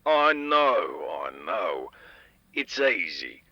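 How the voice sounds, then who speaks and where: A man's voice: fairly low pitch, sing-song, drawn-out words, gravelly, no echo, lo-fi sound, exaggerated voice. A man playing a stern, fed-up town security chief lecturing listeners in a public announcement in an audio drama. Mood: exasperated.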